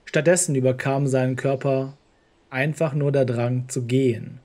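A man's voice: mid-range voice, steady tone, moderate pace, a little mellow. A man narrating a scripted horror story in the role of storyteller. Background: music.

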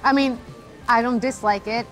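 A woman's voice: on the high side, moderate pace, faint music behind. A woman in her thirties from Sweden with a Swedish accent, chatting casually as a food vlogger on a lapel mic at an outdoor food court. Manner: chatty, animated, rates food out loud. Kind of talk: casual food-tasting chat. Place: outdoor food court seating under a roof.

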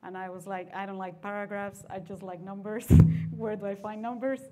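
A woman's voice: medium pitch, little pitch movement, moderate pace, over loud music. A woman from Mexico speaking as a venture capitalist on a conference panel, chatty and laughing lightly between phrases. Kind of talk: panel discussion. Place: conference stage seated in armchair.

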